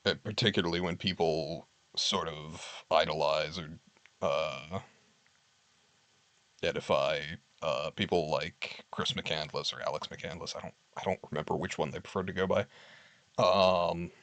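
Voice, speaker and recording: Low-voiced man chatting dry and close. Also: steady tone, a little slow, a bit bright, no room sound. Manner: candid, wry, reads list items aloud and comments. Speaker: streamer. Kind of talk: casual chat with viewers. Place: home streaming setup.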